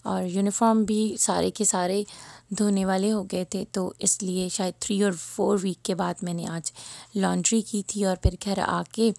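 A woman's voice: mid-range voice, gravelly, a little mellow, no echo. A woman in her thirties with a Pakistani Urdu accent, casually narrating her daily routine as a mother in an easygoing, rambling way, with a slightly sore throat. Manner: easygoing, chatty, rambling with fillers. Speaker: vlogger and mother.

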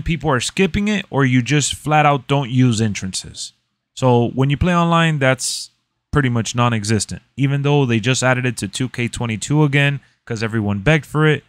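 A man's voice: mid-range voice, no room sound. Emphatic man hosting, dry close mic. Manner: emphatic, conversational, repeats points for stress. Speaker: gaming and wrestling video host. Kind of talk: casual reaction commentary to camera.

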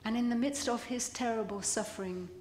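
Older lady priest doing a sermon, dramatic pauses, big echoey church with long ringing tail. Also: a little high, darkish tone, a little hiss or hum. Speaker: priest preaching. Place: large church, at the pulpit. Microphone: gooseneck lectern microphones.

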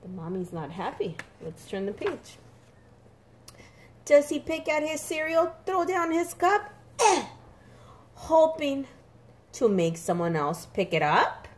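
A woman reading a picture book aloud to young children in an expressive, sing-song, emphatic way. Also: high voice, slow, pauses often, a little hiss or hum. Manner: animated, emphatic, sing-song with repeated words for small children.

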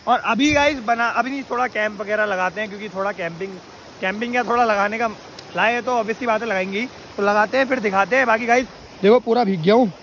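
A man's voice: high voice, fast talker, gravelly, a bit bright. A man in his twenties vlogging casually to a phone held at arm's length, outdoors by a river and later in a car. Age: 20s. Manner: chatty, talks straight to camera. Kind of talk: casual vlog narration. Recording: noisy outdoor phone audio.

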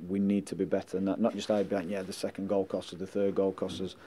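A middle-aged man and football manager answering post-match questions indoors, measured and blunt, often saying "listen" and "um" into handheld mics. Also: sits low, monotone, mellow. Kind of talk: post-match press interview. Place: indoors in front of a sponsor board. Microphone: handheld mics held close.

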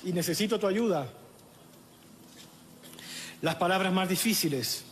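A middle-aged man with a Chilean accent reads a funeral eulogy at a lectern microphone outdoors, in a solemn, measured way with halting restarts, audibly emotional. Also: high-pitched, slight background noise. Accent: Chilean Spanish. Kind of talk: funeral eulogy, read aloud. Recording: echoey, reverberant outdoor sound with faint ambience.

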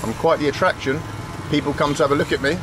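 A man's voice: fairly high pitch, steady tone, quick pace. A man in his forties, a travel vlogger, chatting jokily to camera and to locals in simple English while filming himself on a handheld camera. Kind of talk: casual travel vlog narration. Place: open-sided roadside barber hut, then a sunny street outdoors. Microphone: handheld action camera at arm's length. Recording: outdoor street noise behind.

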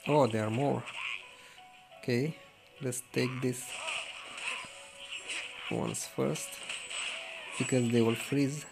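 A man commentates casually on a video game in short, laid-back remarks. His voice is gravelly and very slow, with clear music behind him. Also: mid-range voice, fairly even intonation, rough recording. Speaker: gamer commentating on gameplay.

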